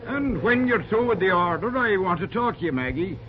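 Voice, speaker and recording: A man's voice: high-pitched, big pitch swings, a little fast. An actor playing a plain-spoken Irish railroad man, with a thick Irish accent and a teasing manner, in 1940s radio acting. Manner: Irish turns of phrase, easygoing and teasing. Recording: dull old radio recording with hiss.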